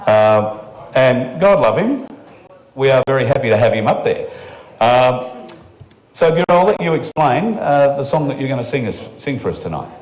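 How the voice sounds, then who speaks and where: A man's voice: mid-range voice, sing-song, normal speed, darkish tone. An Australian man hosting a live show in a casual, offhand and joking way. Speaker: host. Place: live venue room. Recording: roomy live room, faint music.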